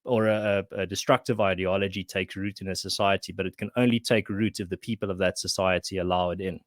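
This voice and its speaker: A man's voice: low-pitched, fairly even intonation, fast, no room sound, studio quality. A man from South Africa whose first language is Afrikaans, talking as a podcast guest and writer in a thoughtful way with many restarts. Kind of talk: casual conversation.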